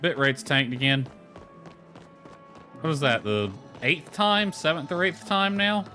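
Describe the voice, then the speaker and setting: A man's voice: on the high side, measured pace, bright, forceful tone. Man casually commentating a game over music. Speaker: gaming streamer. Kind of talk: casual gameplay commentary, reading game dialogue aloud.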